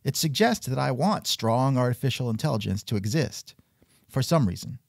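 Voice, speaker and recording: A man's voice: mid-range voice, lively intonation, moderate pace, creaky, dry recording, studio quality. A man hosting a scripted comedic interview show, sardonic and increasingly exasperated. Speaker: podcast host.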